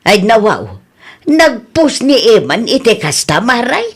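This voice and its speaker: A woman's voice: a little high, big pitch swings, a little slow, husky, heavily acted. A woman playing a nosy, gossipy female neighbour in a radio drama, with a teasing manner. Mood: amused, teasing. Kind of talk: Ilocano radio drama acting.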